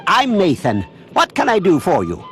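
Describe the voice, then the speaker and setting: A man's voice: sits high, huge pitch swings, normal speed, a bit bright, faint music behind, full character voice. A man voicing a zealous old small-town patriot in a video game, folksy, rambling and fervent. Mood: excited, fervent.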